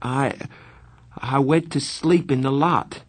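A man's voice: on the high side, sing-song, measured pace, husky, pitched above the performer's own voice, exaggerated voice. A man voicing a sensitive, defensive teenage boy in an audiobook, given to pleading protest.

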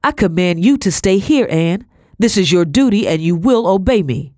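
A woman voicing a domineering, desperate colonial gentleman in an audiobook, urgent, forceful and commanding. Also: low voice, big pitch swings, normal speed, no echo, studio quality. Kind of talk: dramatic audiobook character acting.

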